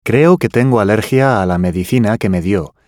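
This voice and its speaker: A man reading scripted language-course dialogue with careful, clearly enunciated delivery. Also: fairly low pitch, normal speed, darkish tone, dry recording, studio quality.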